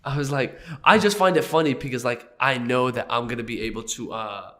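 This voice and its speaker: A man's voice: medium pitch, a little fast, bright, forceful tone. A young man hosting a casual podcast, congested and a little nasal from a cold, joking loosely and coughing now and then. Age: young adult. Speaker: podcast host.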